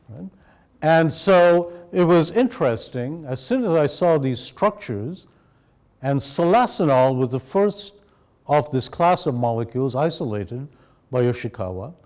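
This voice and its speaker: Man, academic lecturer, slightly high voice, measured explanatory delivery. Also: a little mellow. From Sri Lanka. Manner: measured, explanatory, addresses audience directly.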